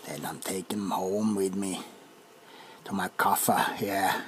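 A man voicing a greedy, mischievous male leprechaun in a put-on Irish accent, speaking low and often in a whisper in a comic ASMR roleplay. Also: a little slow, slight background noise. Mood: sly. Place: dark room, close to camera.

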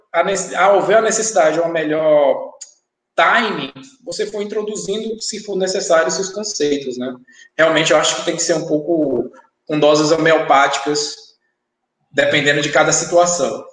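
A man's voice: a little high, a bit bright. Agreeable young man in an echoey room. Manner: agreeable, winding, with many tag questions. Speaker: panel debater. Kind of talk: panel discussion. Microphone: video call.

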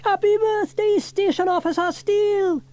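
Man putting on a very high, squeaky child's voice. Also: fairly even intonation, normal speed, a little mellow. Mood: excited. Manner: eager, exclamatory. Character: excitable young children, boy and girl twins and friends.

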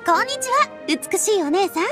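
A woman's voice: extremely high pitch, expressive, moderate pace. A woman playing a bold, cheerful, big-sisterly young heroine in a game, breezy and encouraging. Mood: cheery. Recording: music clearly under the voice.

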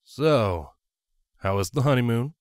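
A man's voice: mid-range voice, lively intonation, a little slow, darkish tone, no echo, studio quality, natural voice. A man voicing a jovial, blunt male pony captain who banters with friends in a story reading. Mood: teasing. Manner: hearty, bantering.

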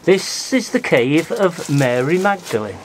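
A man's voice: a little high, very animated, a little slow, slight background noise. Man filming a woodland cave, eager. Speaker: amateur explorer filming a cave. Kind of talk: casual handheld video commentary. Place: outdoors at a rocky cave in woodland.

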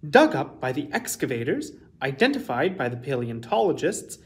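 A man, reading a children's picture book aloud with careful, expressive enunciation as a storytime host. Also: fairly high pitch. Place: small indoor room with shelves.

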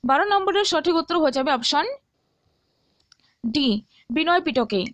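A woman tutor with a very high-pitched voice walks listeners through exam questions. She reads each item out in an instructional way and often adds little checks that they follow. The sound is dry and close, with little room echo. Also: animated, normal speed, fairly bright tone, lo-fi sound. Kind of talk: instructional walkthrough, reading out questions and answers.

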